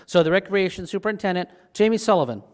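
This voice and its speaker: A man's voice: high-pitched, lively intonation, fast talker. A man organizing a civic class, introducing the next speaker in a slightly raised voice, loose and full of praise. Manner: casual, warmly praising, with many fillers.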